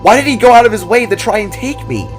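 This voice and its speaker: A man puts on a frightened young boy in a fantasy tale. The voice sits high above an adult register and pleads earnestly, with music underneath. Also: lively intonation, fast. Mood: confused, distressed. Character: frightened pleading twelve-year-old boy with hidden powers.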